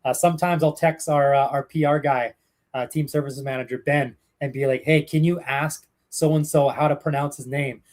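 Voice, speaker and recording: Chatty Canadian man, slightly high voice. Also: steady tone, normal speed, a little mellow. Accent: Canadian English. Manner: chatty storyteller, many fillers and restarts. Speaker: hockey play-by-play broadcaster, guest. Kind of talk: casual interview answers.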